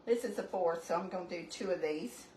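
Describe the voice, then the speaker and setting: A chatty woman with a drawl walks through a recipe at home, wandering off into homey asides as she goes. She sounds a few steps back from the mic in an echoey kitchen, with steady background noise under her voice. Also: lowish voice, fairly quick. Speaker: home cooking channel host. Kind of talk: casual cooking demonstration. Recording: roomy, distant, noisy home recording.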